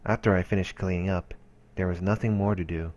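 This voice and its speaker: A man's voice: sits low, fairly even intonation, a little fast, a little mellow, dull audio. A man reading visual novel narration aloud for a let's play.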